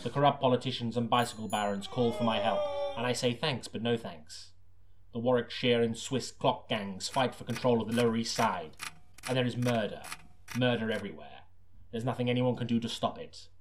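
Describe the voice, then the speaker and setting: A man playing a brooding hard-boiled detective, narrating in a grave, deadpan, portentous noir style. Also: mid-range voice, brisk, a little hiss or hum, close to an everyday voice. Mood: bleak, brooding.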